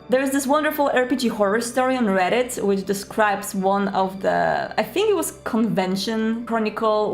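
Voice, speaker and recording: A woman hosting an explanatory advice video in a chatty, emphatic way, stretching out key words. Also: mid-range voice. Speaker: video host giving roleplay advice. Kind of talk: explanatory talk to camera. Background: background music.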